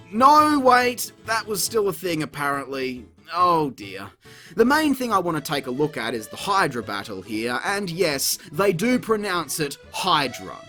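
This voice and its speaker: A man's voice: a little high, bright, forceful tone. A man delivering scripted comedic review commentary in a sarcastic, exasperated, sweary manner. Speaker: video reviewer, host. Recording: music playing behind the voice. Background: background music.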